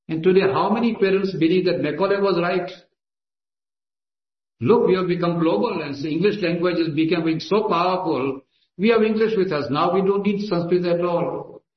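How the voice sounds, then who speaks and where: A man with an Indian accent, a native Gujarati speaker, giving an emphatic, declamatory speech at a meeting. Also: on the high side. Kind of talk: impassioned speech. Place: assembly hall. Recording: echoey lo-fi hall recording.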